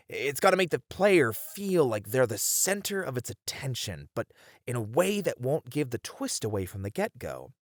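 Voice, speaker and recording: A man playing a hesitant but curious, excitable game developer, speaking casually and expressively in a scripted ASMR horror roleplay. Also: medium pitch, no room sound, studio quality. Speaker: voice actor in an audio roleplay.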